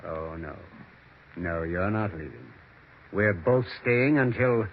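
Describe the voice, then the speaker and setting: A man's voice: sits low, lively intonation, slow, heavily acted. A man playing a stubborn, greedy grown son who bullies his mother, cool and insistent, in American 1940s radio suspense acting. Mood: menacing, insistent. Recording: dull, noisy 1940s radio recording.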